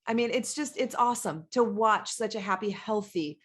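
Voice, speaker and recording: A woman's voice: mid-range voice, normal speed, breathy edge. A middle-aged woman hosting an interview podcast, speaking in an effusive, emphatic and enthusiastic way. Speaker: podcast host.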